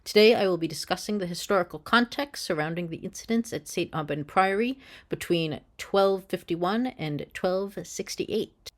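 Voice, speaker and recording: A woman with a low-pitched voice reads a prepared history lesson. She speaks earnestly and drops in small apologetic asides. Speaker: history video creator. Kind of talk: scripted educational narration.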